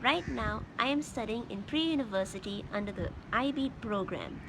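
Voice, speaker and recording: A seventeen-year-old girl from Malaysia giving a brief, soft self-introduction. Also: a little high, lively intonation, moderate pace. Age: teens. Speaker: panelist. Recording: noisy with traffic.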